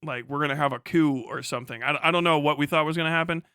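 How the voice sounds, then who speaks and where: A man's voice: a little high, quick pace, pushed and bright, clean tone, dry recording, studio quality. An American man giving casual, off-the-cuff livestream commentary in a rambling, sardonic, profane way. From United States. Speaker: live streamer, political commentator.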